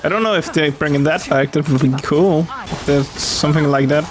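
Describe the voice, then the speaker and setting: A woman's voice: deep voice, brisk, low-quality recording. Musing woman doing casual game commentary. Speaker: game streamer. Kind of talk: casual play-along commentary.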